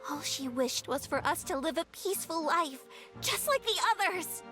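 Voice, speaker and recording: An actress playing a proud, fiery young musketeer heroine in a video game, with emphatic, righteous, dramatic delivery. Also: female voice, very high-pitched, animated, moderate pace, music in the background. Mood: righteous anger. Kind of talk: animated video game acting.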